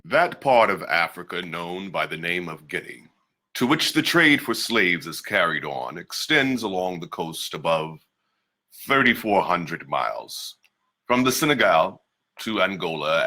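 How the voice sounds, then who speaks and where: A man reading aloud, in a measured and formal way, as an 18th-century memoirist recalling his African childhood. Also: deep voice, bright, forceful tone, exaggerated voice.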